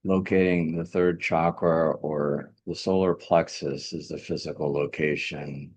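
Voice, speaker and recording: A man with an exceptionally deep, husky voice guides a meditation. He speaks slowly and gently as a teacher, repeating short phrases and leaving long pauses between them. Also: highs cut off. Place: live online video meetup.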